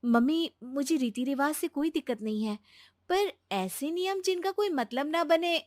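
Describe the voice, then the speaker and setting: A woman as a high-voiced, earnest young daughter-in-law. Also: lively intonation, moderate pace, no echo, clean studio sound. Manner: polite, persuasive, reasoning. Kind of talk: animated Hindi moral story voice acting.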